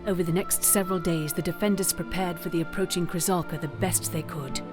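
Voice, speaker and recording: A solemn woman as storyteller narrates a fantasy epic with measured drama. Her voice is a little low, and music plays clearly behind her. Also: steady tone, natural voice. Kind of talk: scripted narration for audio drama.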